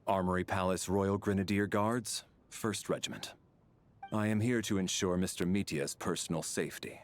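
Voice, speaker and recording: An actor playing a formal, stern military major in a video game, speaking in a measured, official manner. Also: male voice, deep voice, steady tone, lightly acted. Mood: formal, dutiful. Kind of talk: video game character dialogue.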